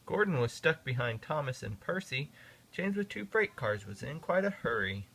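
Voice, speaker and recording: Man reading a storybook, lively pitch. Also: moderate pace, vocal fry, a little hiss or hum. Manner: expressive, sing-song reading. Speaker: father reading to his kids.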